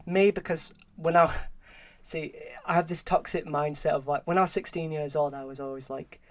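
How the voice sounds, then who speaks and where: A young man in his early twenties, a podcast guest talking candidly and haltingly, often repeating words. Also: a little high, steady tone, dry recording. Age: early 20s. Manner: halting, repeats words, open about struggles. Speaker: podcast guest, film graduate. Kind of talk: candid conversation.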